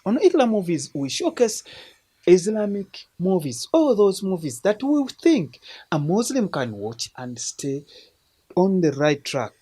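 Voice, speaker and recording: A man's voice: on the high side, warm tone, husky. Earnest man hosting a show. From Uganda. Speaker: host of a film recommendation show. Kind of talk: casual spoken film review.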